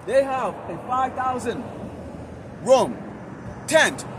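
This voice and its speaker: A man's voice: extremely high pitch, big pitch swings, takes it slowly. A man with an American accent preaching on the street, berating listeners in blunt, profane language between scripture readings. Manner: berating, profane, repeats "okay?" as a tag. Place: outdoors by a city roadside. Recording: very noisy outdoor street with traffic.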